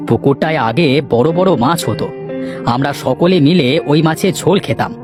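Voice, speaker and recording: A man voicing a worried, skeptical elderly village headman in a cartoon, with a deliberate, ponderous manner. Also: a little high, animated, music in the background, natural voice. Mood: troubled, brooding. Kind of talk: Bengali cartoon voice acting.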